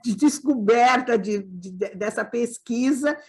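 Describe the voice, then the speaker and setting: Woman, host, casual panel talk, affirming, filler words, fairly high voice. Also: measured pace, breathy edge.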